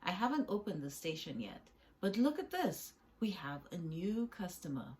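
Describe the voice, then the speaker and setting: A woman's voice: lowish voice, very animated, moderate pace, a little mellow. A woman voicing a small male goby fish in a children's story, an earnest, level-headed boss who talks sensibly and firmly. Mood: concerned.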